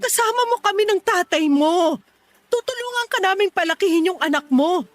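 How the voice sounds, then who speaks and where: A woman's voice: extremely high pitch, lively intonation, normal speed, dry recording. A woman playing a devout mother in a Filipino radio drama, pleading and reproachful.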